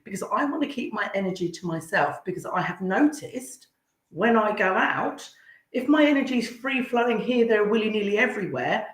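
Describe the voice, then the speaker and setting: A woman's voice: mid-range voice, animated, moderate pace. A woman chatting casually as a podcast guest, blunt, irreverent and jokey. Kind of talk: casual chat. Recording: roomy, echoey sound.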